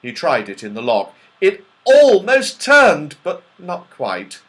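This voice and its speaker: A man narrates a children's story. His voice is fairly high for a man, very animated and expressive, and he takes his time over the words. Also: slow pace. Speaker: audiobook reader.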